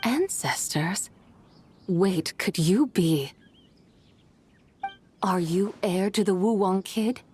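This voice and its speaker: Game role: enigmatic otherworldly lady, medium pitch, gentle, formal, polite. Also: lively intonation, slow pace, long pauses, a little hiss or hum. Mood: curious, courteous. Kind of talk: anime video game voice acting.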